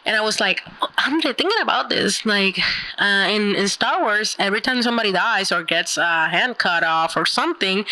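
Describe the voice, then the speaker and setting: A woman whose first language is Spanish, chatting casually, animated and giggly, often stumbling over her words. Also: medium pitch, low-quality recording. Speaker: podcast co-host. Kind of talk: casual chat.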